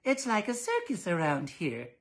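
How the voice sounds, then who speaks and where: A man voicing a dull, anxious, stern storybook mother who talks in a prim, drawn-out way. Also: high voice, wildly swooping pitch, a bit bright, voice pushed up, exaggerated voice. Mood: fretful.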